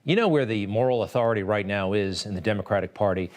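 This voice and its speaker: A man's voice: on the low side, quick pace, a little mellow. A man delivering sardonic political opinion commentary, full of rhetorical questions.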